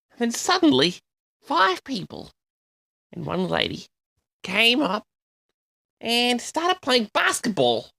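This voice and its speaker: A man telling a story to his audience as a vlog host, in a theatrical, indignant manner with dramatic pauses. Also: high-pitched, gravelly, pushed and bright, dry recording. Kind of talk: storytelling monologue addressed to the audience.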